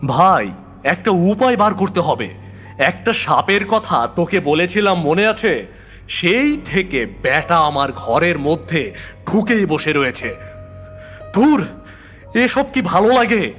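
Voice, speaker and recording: A man's voice: sits high, sing-song, takes it slowly, long pauses, a bit bright, faint music behind, voice pushed up, heavily acted. A man playing an anxious, irritable man who frets and complains to his friend in an audio horror story. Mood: fretful, irritated. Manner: fretful, complaining.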